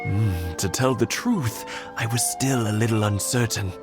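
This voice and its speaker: Elderly husband played by a man, gentle and reflective video game storyteller, music clearly behind. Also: on the low side, natural voice. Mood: fond, wistful. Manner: slow, halting, reflective storytelling. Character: gentle elderly husband, reminiscing fondly, good-humored. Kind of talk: English video game voice acting. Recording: game audio with background music.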